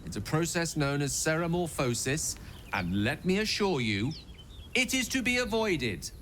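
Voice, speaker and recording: A man's voice: on the high side, big pitch swings, normal speed, fairly bright tone, slight background noise. A man playing a genteel, erudite wizard in a video game, wordy, courteous and wryly witty in his phrasing. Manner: eloquent, flowery, playful phrasing. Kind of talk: theatrical video game dialogue acting.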